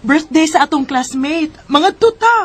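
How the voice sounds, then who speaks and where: A woman plays an eager Filipino schoolgirl with a Bisaya accent. Her voice is extremely high, and she coaxes like a persuasive friend in a radio drama. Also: expressive, measured pace. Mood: eager, coaxing.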